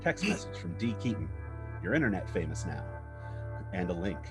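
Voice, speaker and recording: A man's voice: low voice, big pitch swings, moderate pace. A man reading screenplay stage directions as narrator, in short clipped scripted phrases. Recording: guitar music bed under the voice.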